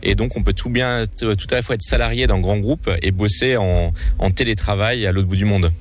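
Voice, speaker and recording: Low-pitched guy on a radio interview, earnest, keeps restarting his sentences as he explains. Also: fairly quick, a little hiss or hum. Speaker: documentary filmmaker guest.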